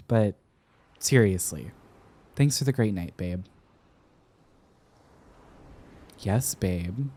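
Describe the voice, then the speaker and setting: A young man playing an affectionate, teasing sweetheart smitten with an old friend, speaking tenderly and haltingly in an intimate roleplay ASMR style. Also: medium pitch, warm tone. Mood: playful, affectionate. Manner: tender, playful, halting with pauses and repeats.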